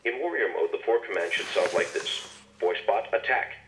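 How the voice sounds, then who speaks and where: A man's voice: low voice, animated, a little fast, fairly bright tone, roomy sound, a little hiss or hum, low-quality recording. A man reading scripted instructions with careful enunciation, played from a cassette through a small toy speaker. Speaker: instructional tape narrator.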